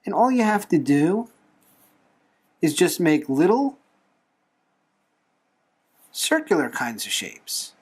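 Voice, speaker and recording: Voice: medium pitch, sing-song, slow pace, pauses often, darkish tone, husky. A tutorial host talking through a drawing step by step in a playful, encouraging manner. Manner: playful, light humour, encouraging.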